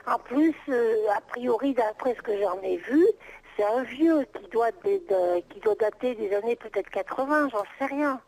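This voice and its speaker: A woman's voice: a little high, moderate pace, a little mellow. A middle-aged woman on an unscripted phone call, answering as a private seller, exasperated and insistent, repeating herself. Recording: noisy telephone call.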